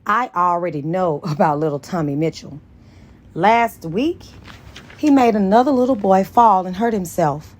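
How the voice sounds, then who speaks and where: Woman voicing a sassy, sharp-tongued female friend. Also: fairly low pitch, normal speed. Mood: teasing, chatty. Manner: animated, mouthy, slangy. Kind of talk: dramatized audiobook dialogue.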